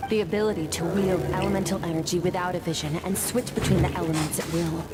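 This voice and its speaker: A woman voicing a stern, composed master mentor in a video game, speaking in a measured, solemn, instructive way. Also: fairly low pitch, steady tone, lightly acted. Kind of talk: scripted video game dialogue. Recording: game dialogue under music. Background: game music.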